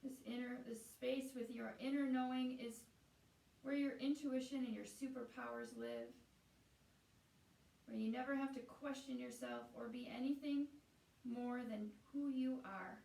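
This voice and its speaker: A woman guiding a meditation as a teacher, speaking in a soothing, unhurried way with pauses between phrases. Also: high-pitched, fairly even intonation, gravelly, dark tone. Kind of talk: guided meditation. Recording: roomy echo, distant from the mic.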